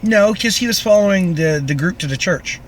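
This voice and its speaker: A man's voice: high-pitched, expressive, brisk, slight background noise. A man co-hosting a podcast in casual TV chat, chipping in with short, dry quips. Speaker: podcast co-host.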